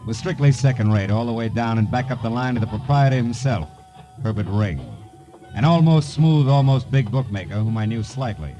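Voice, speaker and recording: An actor playing a wry, persistent private eye in 1950s radio noir, clipped and slangy, on an old recording. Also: male voice, mid-range voice, moderate pace, mellow, lo-fi sound, faint music behind, lightly acted. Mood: wry narrating. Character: hard-boiled male private eye, wry, persistent, fast-talking. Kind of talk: 1950s radio noir acting, first-person narration and dialogue.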